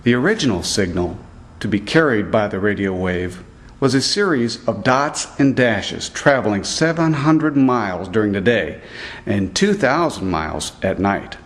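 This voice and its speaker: A man narrating a scripted report as a student reporter, reading aloud in a deliberate way. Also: fairly low pitch, lively intonation.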